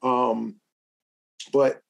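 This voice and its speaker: A man's voice: mid-range voice, flat delivery, very slow, gravelly. A man co-hosting a sports podcast, giving casual commentary and thinking aloud as he talks, often restarting and hedging. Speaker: podcast co-host.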